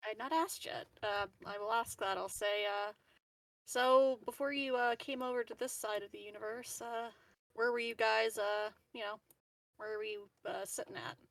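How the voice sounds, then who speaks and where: Hesitant, higher-voiced woman player. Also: fairly even intonation, moderate pace, vocal fry, a bit bright, dry recording. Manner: hesitant, careful phrasing. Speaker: tabletop game player. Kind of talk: casual role-play chat.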